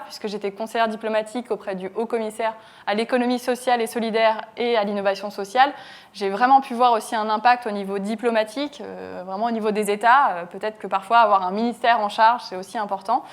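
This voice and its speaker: Woman, panelist, fast delivery, higher voice, fluent. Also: steady tone. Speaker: panelist, former diplomatic advisor. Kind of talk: panel talk, career story.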